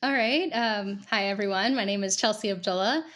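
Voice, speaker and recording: A woman's voice: medium pitch, a little fast, a bit bright. A young woman from Kuwait, a guest author, chatting casually in an interview with lots of fillers. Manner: chatty, frequent fillers, laughs easily. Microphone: video call.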